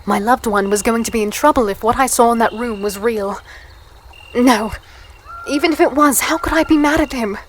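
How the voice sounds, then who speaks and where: A woman playing a guarded, curious young human captive in a dark fantasy audio drama, hesitant, polite and introspective. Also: on the high side, normal speed, slight background noise, lightly acted. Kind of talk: first-person audio drama narration and dialogue.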